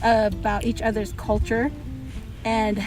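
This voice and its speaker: A woman with a fairly high voice speaks casually, as a teacher answering interview questions. She explains her points through examples. Music plays clearly behind her. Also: flat delivery, measured pace, poor audio.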